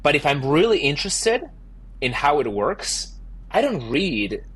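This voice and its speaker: Husky Argentinian man, animated. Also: fairly high pitch, unhurried. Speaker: startup founder and CEO. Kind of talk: podcast interview answers.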